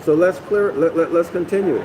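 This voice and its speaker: A man from North America reads a text aloud and lectures on it to a live audience, his voice sitting a little high. He keeps checking in with listeners and restarts phrases as he explains. Steady background noise with passing traffic sits under his voice. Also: moderate pace, a crowd in the background. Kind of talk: live-streamed lecture, reading aloud and commenting.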